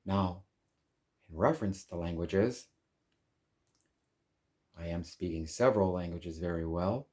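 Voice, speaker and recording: Man, very low voice, unhurried pace, calm measured monologue, native English speaker. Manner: calm, deliberate, measured phrasing. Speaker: language channel host. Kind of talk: slow reflective monologue.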